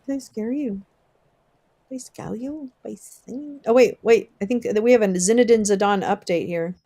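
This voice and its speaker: A young woman hosting a casual livestream, chatting with viewers, swearing and laughing often, her voice wearing hoarse. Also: medium pitch, normal speed, mellow. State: losing her voice. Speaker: livestream host. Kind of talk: casual livestream chat reading viewer comments.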